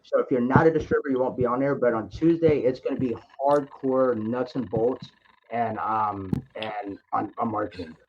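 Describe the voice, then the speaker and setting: A man's voice: medium pitch, normal speed, slight background noise. A man hosting a sales conference call, answering questions in an encouraging way and often repeating his phrases. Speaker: host of a distributor conference call. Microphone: conference call line. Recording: dull lo-fi conference call audio.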